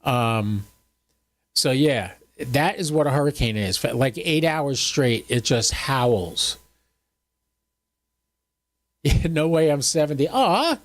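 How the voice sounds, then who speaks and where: A man's voice: mid-range voice, lively intonation, slow, long pauses. A man in his seventies hosting a livestream, chatting casually with viewers in a folksy, joking, rambling way. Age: 70s. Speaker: host of a ham radio livestream.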